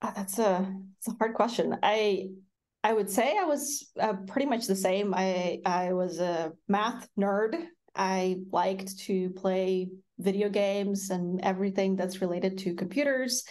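A woman's voice: medium pitch, a little mellow. Woman from Kazakhstan, thoughtful and chatty. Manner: thoughtful, laughs often, frequent fillers. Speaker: guest, tech CEO. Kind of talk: casual interview talk.

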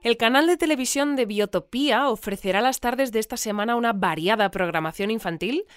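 An actress playing a composed, formal presenter of a news bulletin in an audio drama. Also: female voice, on the high side, brisk, no room sound, soft background music, close to an everyday voice. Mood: composed, informative. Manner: composed, formal, clearly scripted.

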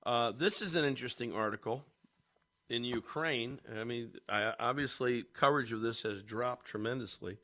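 A man's voice: mid-range voice, fairly bright tone. Congested man hosting talk radio. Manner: rambling, many fillers and restarts, chuckles. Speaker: radio talk show host. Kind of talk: casual talk radio commentary.

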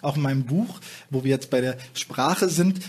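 A man's voice: medium pitch, moderate pace, darkish tone, husky. A man speaking as a panel guest and author in a talk show discussion, earnest and reflective, with hesitant restarts. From Germany.

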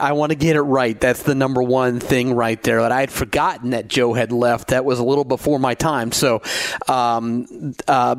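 An American man with a mid-pitched voice, speaking as a podcast host. His delivery is casual and a little halting as he rephrases, captured in a dry, close recording. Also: dry recording. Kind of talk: casual podcast interview talk.

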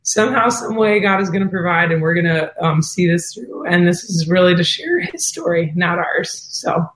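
A woman's voice: fairly low pitch, animated, moderate pace, reverberant, poor audio. A woman guest who is losing her voice, speaking in an earnest, heartfelt, faith-driven way about running a wedding venue. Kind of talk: earnest interview talk.

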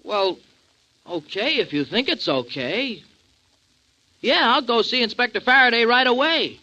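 An actor playing an earnest, streetwise small-time sidekick with an American accent and slangy, ungrammatical speech, in 1940s radio drama style. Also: male voice, very high voice, animated, a little slow, bright, forceful tone, heavily acted, rough recording. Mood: dutiful. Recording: vintage 1940s radio recording.

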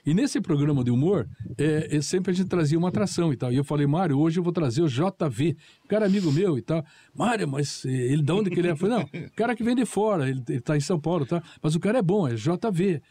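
A man's voice: on the high side, animated, fast talker, hardly any pauses, mellow, husky. An older man from Paraná, a former TV producer recalling old anecdotes and acting out the dialogue. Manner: storytelling, acts out quoted dialogue. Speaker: guest, former TV show producer. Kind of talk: anecdotal recollection.

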